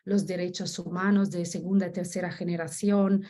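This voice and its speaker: A woman's voice: lowish voice, level tone, moderate pace, mellow. A woman from Italy with an Italian accent, a native Italian speaker giving an academic presentation as a researcher, often searching for words and correcting herself. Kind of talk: academic presentation in Spanish as a second language.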